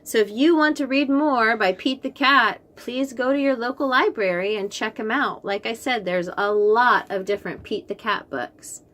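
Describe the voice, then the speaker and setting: A woman reading a picture book aloud to young children, slow and expressive, then chatting about the books. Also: a little high. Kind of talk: read-aloud storytime for young kids.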